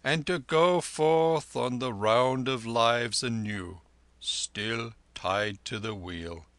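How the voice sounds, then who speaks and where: A man reading aloud, voicing a gentle, weary old holy man who speaks slowly and deliberately. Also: mid-range voice, no echo. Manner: slow, weary, measured.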